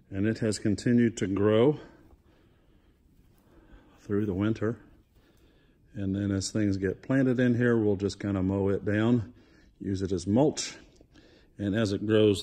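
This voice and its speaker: A man's voice: on the low side, warm tone, no echo. A middle-aged man giving a casual walking tour of his farm, chatty and folksy, filmed outdoors on a handheld phone. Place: outdoors on a snowy farm and woods.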